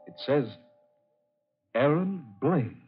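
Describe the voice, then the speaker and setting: A man's voice: a little high, expressive, takes it slowly, slightly rough, full character voice. A man playing a bossy, determined treasure hunter in a 1940s radio horror drama, terse and dramatic. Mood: horrified. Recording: muffled vintage radio recording with loud music.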